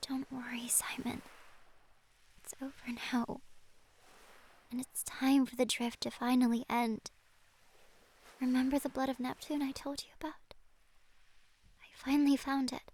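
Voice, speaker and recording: An actress voicing a long-lost beloved in an audio drama, soft, breathy and soothing, often close to a whisper. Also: female voice, high voice, little pitch movement, unhurried, pauses often. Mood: soothing, solemn. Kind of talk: dramatic audio roleplay acting.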